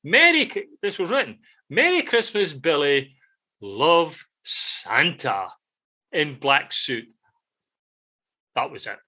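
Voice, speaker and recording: An older man with a Scottish accent casually reminiscing and telling stories in an animated way, repeating phrases for emphasis. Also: fairly high pitch, slow pace, long pauses. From Scotland. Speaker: podcast co-host. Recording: dull, muffled lo-fi audio.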